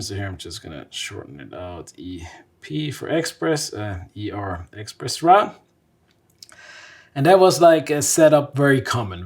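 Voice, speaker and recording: A man in his thirties from Switzerland presenting a casual tech explainer, conversational and thinking aloud as he sketches. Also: mid-range voice. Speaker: vlog host and tech presenter. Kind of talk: casual whiteboard explainer to camera. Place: small home studio indoors.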